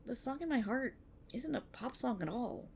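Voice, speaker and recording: A woman playing an excitable, earnest young banshee idol-in-training, chatty and teasing, in an ASMR-style roleplay aimed at the listener. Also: a little high, moderate pace, gravelly, a little hiss or hum. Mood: uneasy, wistful.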